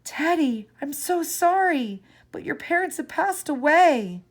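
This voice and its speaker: A woman voicing a kindly, motherly older caretaker who speaks slowly and gently. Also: high voice, lively intonation, pitched above the performer's own voice. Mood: gentle.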